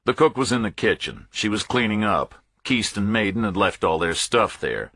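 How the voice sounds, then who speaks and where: A man with a deep, rough-edged voice narrates a first-person thriller audiobook. His phrasing is terse and clipped, falling into short, separate statements. The recording is very dry, with no room sound around the voice. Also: sing-song, normal speed, fairly bright tone, dry recording.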